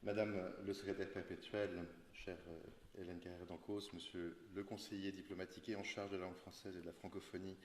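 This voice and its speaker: A man, a government official, formally greeting a list of dignitaries and guests with hesitant fillers. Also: low-pitched. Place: large ceremonial hall. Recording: echoey hall with faint noise.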